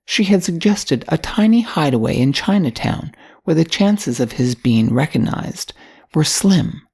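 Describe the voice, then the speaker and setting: Mature woman, gravelly fry, husky tone, measured scripted story narration, older storyteller. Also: sits low, lively intonation, dark tone.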